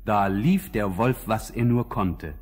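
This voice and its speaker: A man narrating a scripted fairy tale as a calm, measured storyteller. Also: lowish voice.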